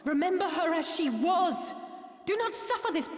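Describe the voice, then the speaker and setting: Casting: passionate young fantasy-game heroine. High voice, earnest and defiant, formal old-fashioned wording, echoing space. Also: female voice, lively intonation, normal speed. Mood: impassioned, pleading. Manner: formal, slightly archaic phrasing. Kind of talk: dramatic video game voice acting. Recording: echoing hall reverb.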